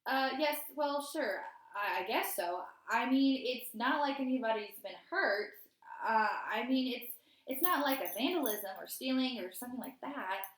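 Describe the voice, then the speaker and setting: A woman's voice: on the high side, expressive, moderate pace, fairly bright tone, pitched above the performer's own voice. Woman playing a hesitant dad, echoey room. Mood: flustered. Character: hesitant, mild schoolboy's father. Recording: roomy, echoey indoor sound.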